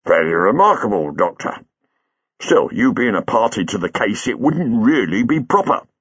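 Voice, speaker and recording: A man's voice: fairly low pitch, very animated, moderate pace, slightly rough, dry recording, muffled recording. A man voicing a patient, stolid Victorian police sergeant. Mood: dogged, patient.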